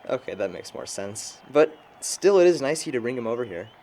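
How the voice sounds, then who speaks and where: A man's voice: mid-range voice, sing-song, a little fast, dark tone, a little hiss or hum, lightly acted, rough recording. A young man playing a curious, easygoing friend in an audio drama.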